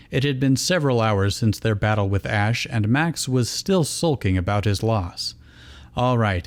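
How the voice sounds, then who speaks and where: A man's voice: medium pitch, darkish tone. A man reading fan fiction aloud as narrator, in scripted storytelling style. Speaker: reader and narrator.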